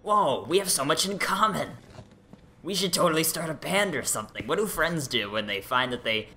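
A man's voice: fairly high pitch, animated, moderate pace, pushed and bright, slight background noise. A man improvising a comedic game dub as a sulky, rambling middle-aged scientist who wavers toward villainy. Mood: chummy. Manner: rambling, exclamatory, self-mocking.

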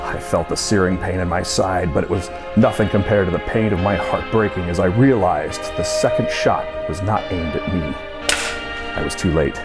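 A man playing a brooding, lovelorn noir hero who narrates his own doom in a grave, measured old-time radio suspense style. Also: sits low, lightly acted. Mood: anguished, fatalistic. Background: music and storm sound effects.

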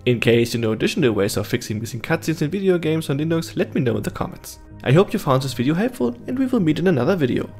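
A man narrates a scripted how-to guide in a gravelly voice. He gives instructions step by step as a tutorial narrator, with light music playing behind him. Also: a little high, very animated, quick pace, few pauses, darkish tone. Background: background music.

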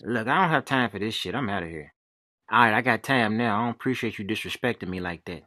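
A man plays an aggressive male athlete for a comedy dub. The voice is animated and forceful, full of hot-headed trash talk. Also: on the low side, fast, no echo, clean studio sound. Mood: angry. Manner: aggressive, profane. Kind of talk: comedy voice-over.

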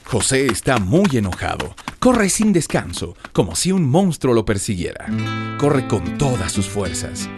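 A man's voice: mid-range voice, big pitch swings, a little slow. A man with a Bolivian accent narrating a radio drama as its storyteller, in a dramatic scripted style. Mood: suspenseful. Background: prominent music.

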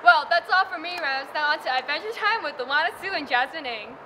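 A woman's voice: high voice, lively intonation, a little fast. A teenage girl hosting a quiz segment outdoors at school, cheerful and bubbly. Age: teens.

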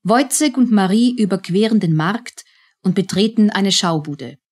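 A woman's voice: medium pitch, normal speed, a little mellow. A woman reading scripted narration as the narrator of a radio drama.

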